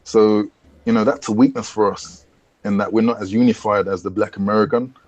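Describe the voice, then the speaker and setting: A British man speaking as a podcast guest, explaining his points in a measured way with frequent "you know". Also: lowish voice, steady tone, a little mellow, no room sound. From Britain. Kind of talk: casual podcast discussion.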